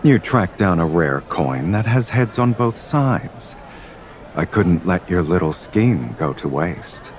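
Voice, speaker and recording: An actor playing a confident, teasing male romance lead in a fantasy game, with an unhurried, composed, wry delivery. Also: low-pitched, big pitch swings, a little hiss or hum, exaggerated voice. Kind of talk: scripted video game dialogue.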